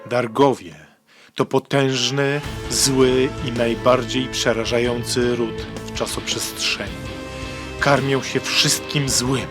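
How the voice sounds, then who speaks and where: Actor as slow, grave, secretive father. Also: mid-range voice, steady tone, music in the background, natural voice. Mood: ominous, forceful. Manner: slow, solemn, dramatic pauses. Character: grave protective father, secret guardian of a time gate. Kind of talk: radio drama acting.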